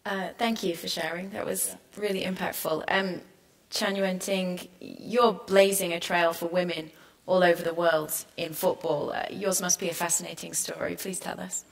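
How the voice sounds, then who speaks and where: A woman moderating a conference panel, introducing the next speaker in a measured, formal way. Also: fairly low pitch, level tone, vocal fry, rough recording.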